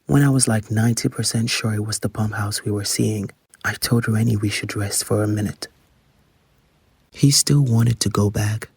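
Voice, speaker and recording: An anxious young man telling a horror story for an audio drama. His voice is very husky with a creaky edge, informal and hesitant, recorded dry and close with music behind. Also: on the low side, steady tone, moderate pace, a little mellow, dry recording, full character voice. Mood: tense recounting. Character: anxious young man recounting a night lost among dunes. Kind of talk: first-person horror audio drama monologue.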